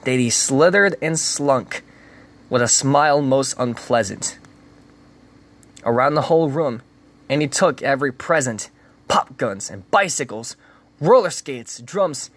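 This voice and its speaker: Man giving a rhythmic storybook reading. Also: mid-range voice. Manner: rhythmic rhyming delivery with lively emphasis. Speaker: reader.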